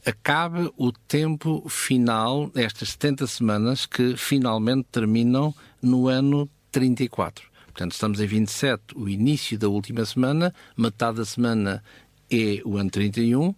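A man with a European Portuguese accent expounding scripture conversationally as a Bible teacher, halting, with pauses and frequent check questions. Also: medium pitch, no room sound.

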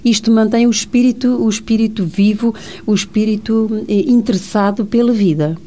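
A woman's voice: medium pitch, fairly even intonation, normal speed, warm tone. A woman hosting a radio talk show, speaking with many hesitations and fillers. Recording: radio studio.

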